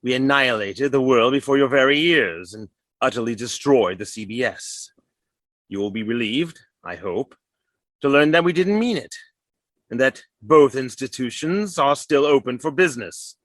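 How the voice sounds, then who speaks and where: Brief: actor as a wry, playful radio director, dry close sound, 1930s style. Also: male voice, mid-range voice, animated, normal speed, a bit bright, dry recording, natural voice. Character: man as a theatrical radio director, wry and genial. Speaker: radio presenter signing off.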